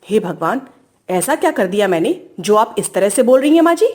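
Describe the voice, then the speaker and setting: A woman's voice: medium pitch, very animated, fairly quick, darkish tone, voice pushed down. A woman playing a defiant young daughter-in-law who complains and talks back in a family story. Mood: cheeky, annoyed. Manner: whiny, back-talking.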